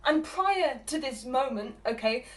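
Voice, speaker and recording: An actress plays a flustered, exasperated woman in a stage monologue. Her voice is high. She stammers, repeats herself and breaks from halting phrases into agitated bursts as she argues with her partner. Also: lively intonation. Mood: indignant, sarcastic. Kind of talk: dramatic theatre monologue.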